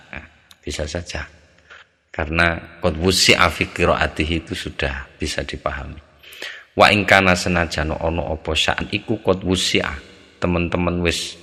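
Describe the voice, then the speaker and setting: Very deep gravelly man teaching a text. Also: bright, forceful tone, in a large hall. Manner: recites Arabic phrases, glosses them in Javanese, then explains in Indonesian. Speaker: teacher of a classical text study. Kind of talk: reading and translating a classical Arabic text word by word, then explaining.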